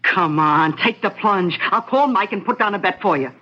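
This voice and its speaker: An actress playing a breezy, pushy gambling neighbor with a brash, casual, coaxing way of talking, in an old-time radio drama. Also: female voice, medium pitch, animated, moderate pace, sharp tone, slightly rough. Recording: muffled, noisy old radio recording.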